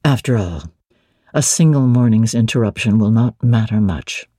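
A woman voicing a brooding, teasing middle-aged gentleman, commanding and whimsical, in a dramatic audiobook reading of a classic novel. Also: extremely low pitch, lively intonation, normal speed, husky, dry recording, clean studio sound, pitched below the performer's own voice, exaggerated voice. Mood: brusque, possessive. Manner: whimsical, authoritative, theatrical.

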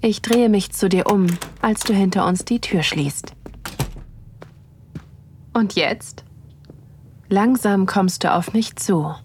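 A woman playing an excited, aroused wife who narrates an erotic audio drama in an intimate, breathy manner. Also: mid-range voice, takes it slowly, long pauses, a little hiss or hum, close to an everyday voice. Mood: sensual, expectant.

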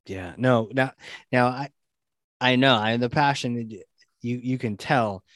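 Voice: mid-range voice, slow pace, a bit bright, no echo. Dry, close-sounding interview host. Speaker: podcast host. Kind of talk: casual interview chat.

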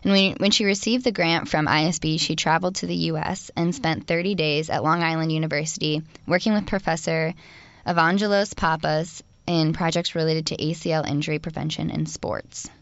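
A woman hosting a podcast, relaxed and chatty, reading introductions and thanking guests. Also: low voice, brisk, no echo. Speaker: podcast host. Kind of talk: casual podcast chat and scripted intros. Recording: dry, dull lo-fi audio.

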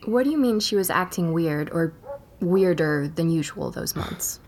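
A woman's voice: lowish voice, expressive, normal speed, darkish tone. An actress playing an inquisitive young journalist, probing and composed as she interviews and narrates in an audio drama podcast. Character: inquisitive young woman journalist investigating a disappearance.

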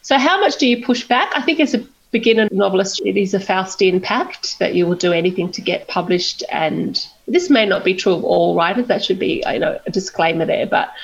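A woman's voice: mid-range voice, a little fast, creaky, few pauses, muffled recording. A woman novelist, talking candidly in an interview in a chatty, self-deprecating way and laughing often. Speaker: novelist guest.

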